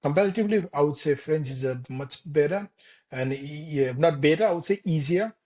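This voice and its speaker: A man in his thirties from Nepal with a Nepali accent, speaking as an interview guest in a casual, halting way with many restarts and fillers. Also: a little high, darkish tone. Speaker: architect guest. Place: Denmark.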